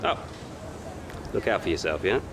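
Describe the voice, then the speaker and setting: A man's voice: low-pitched, animated, normal speed, noisy background. An actor playing an arrogant young male racing driver in a video game cutscene, sarcastic and condescending. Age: young adult.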